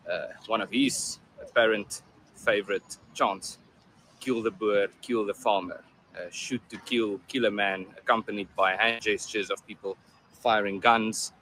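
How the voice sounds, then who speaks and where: A South African man whose first language is Afrikaans, speaking English with an Afrikaans accent in a measured, deliberate video statement. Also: on the low side, steady tone, pushed and bright, slight background noise, poor audio. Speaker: commentator in a played video.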